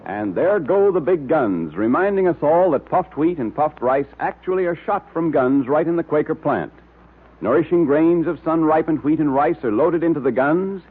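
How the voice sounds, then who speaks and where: Male American radio announcer-narrator, emphatic, swooping delivery, rough-edged tone, tinny vintage sound. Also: medium pitch. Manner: emphatic, salesman-like. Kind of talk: old-time radio sponsor announcing and scripted narration. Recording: muffled, tinny old radio recording.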